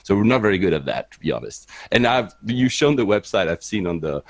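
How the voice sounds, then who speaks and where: A man's voice: fairly low pitch, sing-song, a little fast, no room sound, clean studio sound. A man from Switzerland whose first language is French, chatting in an interview as a guest podcaster, with lots of filler words and restarts. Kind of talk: casual interview chat.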